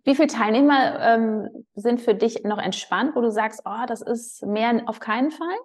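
A woman's voice: a little high, normal speed, a little mellow. A woman hosting a podcast, interviewing her guest in an enthusiastic, chatty way. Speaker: podcast host. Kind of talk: casual interview.

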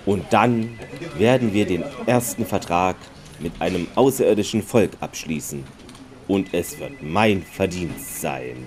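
A man's voice: low voice, measured pace, loud music behind the voice. A man playing a self-important, ambitious governor in a German sci-fi audio drama, pompous and commanding. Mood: smug. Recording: faint background ambience noise.

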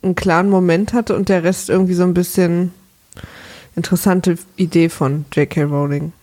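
A woman's voice: on the low side, steady tone, moderate pace, warm tone. Chatty young woman, laughing podcast host. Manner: chatty, laughs often, teasing. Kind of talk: casual podcast chat, reading listener mail.

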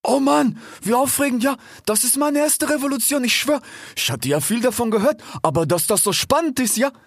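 A man voicing an excitable, naive little fox in a storybook, bouncy, thrilled and gushing. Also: mid-range voice, fairly quick, husky, no echo, studio quality, voice pushed up.

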